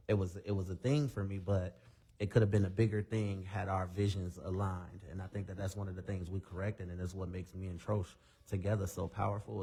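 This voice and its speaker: A young man speaking as a guest singer in a casual radio interview, relaxed and candid as he tells his story. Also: sits low, husky.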